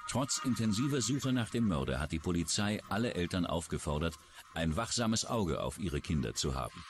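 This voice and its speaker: Deep-voiced male true-crime narrator. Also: sing-song, moderate pace, noisy background. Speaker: documentary narrator. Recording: music under the voice. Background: background music.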